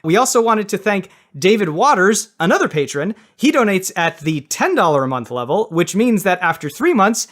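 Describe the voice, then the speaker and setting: A man's voice: high-pitched, big pitch swings, normal speed, hardly any pauses, a bit bright. A man hosting a podcast, chatting casually and making announcements. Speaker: podcast host.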